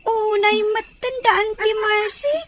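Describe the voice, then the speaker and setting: A woman's voice: very high voice, steady tone, takes it slowly, fairly bright tone, a little hiss or hum, exaggerated voice. A woman playing a sad, plaintive young child in an Ilocano audio drama. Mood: tearful, wistful.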